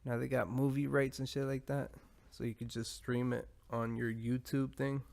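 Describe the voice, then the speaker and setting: A man's voice: medium pitch, level tone, moderate pace, creaky, a little mellow. A man hosting a podcast, talking in a loose, rambling monologue full of profanity and asides. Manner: rambling, profane, laid-back. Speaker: podcast host.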